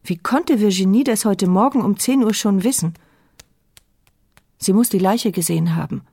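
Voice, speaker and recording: A woman's voice: medium pitch, moderate pace, dark tone, husky, no echo, clean studio sound. An actress playing a blind, mute woman narrating her thoughts with a wry, sardonic edge. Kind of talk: radio play inner monologue.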